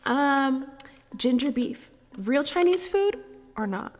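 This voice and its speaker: A woman's voice: sits high, expressive, takes it slowly, darkish tone, a little hiss or hum. A woman hosting a casual quiz chat in a bubbly, laughing way. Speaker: host. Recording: reverberant room with music underneath.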